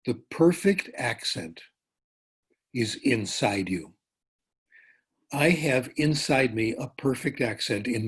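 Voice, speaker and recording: A husky-voiced older man speaks at a middle pitch, giving a conference lecture. He tells relaxed anecdotes, often breaking off for asides and correcting himself as he goes. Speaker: professor giving a conference talk. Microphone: remote talk over a conference call.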